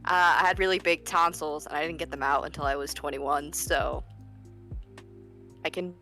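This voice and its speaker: A young woman in her twenties with a deep voice, chatting casually and rambling on a live stream. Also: fairly even intonation, moderate pace, bright, forceful tone, soft background music. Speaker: streamer guest.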